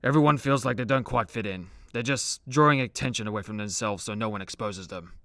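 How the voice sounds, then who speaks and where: An actor playing an easygoing, hard-drinking immortal demigod in a superhero comedy radio play, chatting casually like a storyteller. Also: male voice, lowish voice, a little fast, a bit bright, dry recording. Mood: gentle, sincere.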